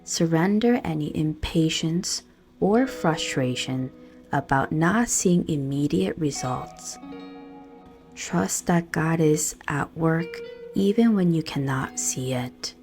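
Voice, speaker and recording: Low-pitched woman, slow guided meditation. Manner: calm, soothing, measured with pauses. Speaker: guided meditation and prayer leader. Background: soft guitar music.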